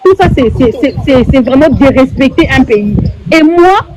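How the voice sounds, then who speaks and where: A woman's voice: high-pitched, expressive, moderate pace, noisy background. A woman from Congo asks questions in a live online conversation. She speaks in earnest and repeats words for emphasis. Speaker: interviewer.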